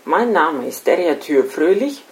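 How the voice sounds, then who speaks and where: A middle-aged woman from Estonia with an Estonian accent, a non-native speaker reading a scripted lecture in a formal, deliberate way. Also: sits low, big pitch swings. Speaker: lecturer, special-needs educator. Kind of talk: scripted lecture read aloud. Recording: echoey room sound.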